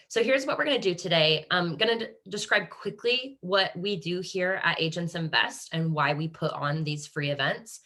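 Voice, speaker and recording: A woman with an American Midwest accent hosts an online panel over a video call. Her voice sits a little low, and she keeps an upbeat, encouraging tone, often restarting sentences mid-thought. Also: fairly bright tone. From Kansas, USA. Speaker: webinar host. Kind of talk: hosting a live online panel.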